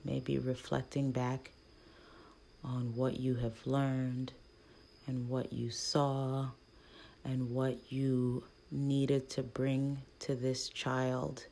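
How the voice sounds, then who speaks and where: Slow, very low-voiced woman guiding meditation. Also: little pitch movement, a little hiss or hum. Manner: gentle, hushed delivery with long pauses between short phrases. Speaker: guided meditation leader. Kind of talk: guided meditation, soothing instruction.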